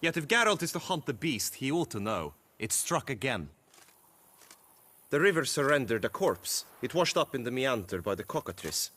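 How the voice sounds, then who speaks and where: An actor playing an earnest, gallant young knight in a video game, with formal, ornate and impassioned speech. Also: male voice, medium pitch, sing-song, normal speed, pushed and bright. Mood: resolute.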